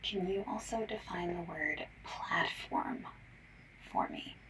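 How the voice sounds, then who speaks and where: A woman doing an ASMR survey-caller roleplay, gently and politely asking questions in breathy soft speech and whispers. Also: rumbling low, huge pitch swings, slow. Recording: lo-fi, noisy, slightly distant mic. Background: mechanical keyboard typing.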